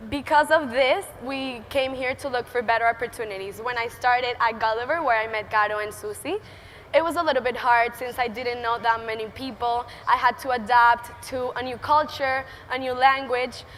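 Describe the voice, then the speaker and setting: High bright Venezuelan-accented teen woman. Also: steady tone, normal speed, slight background noise. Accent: Venezuelan Spanish. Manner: nervous, giggly, earnest. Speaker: student entrepreneur speaker. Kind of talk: prepared conference talk. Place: large conference hall stage. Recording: reverberant hall with faint ambience.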